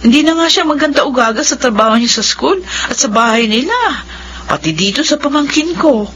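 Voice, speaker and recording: An actress playing a concerned, frank younger sister in a radio drama, chiding and earnest. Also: female voice, high voice, animated, brisk, fairly bright tone, a little hiss or hum. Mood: exasperated. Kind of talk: Filipino radio drama acting.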